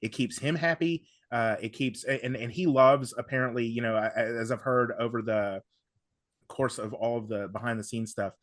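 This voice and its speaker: A man's voice: medium pitch, dry recording, clean studio sound. A man chatting casually as a podcast host, enthusiastic and often doubling back on his words. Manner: halting, repeating words, enthusiastic. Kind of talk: casual discussion of audio drama.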